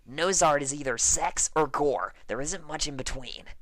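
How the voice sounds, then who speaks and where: A brash young female pegasus pilot, acted out by a man, pitched well up from his own voice. She is emphatic and pleading, in a storybook-style character reading with very dry, close sound. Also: normal speed, fairly bright tone, no room sound, close to an everyday voice. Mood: defensive, cocky. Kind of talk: character voice in fan fiction reading.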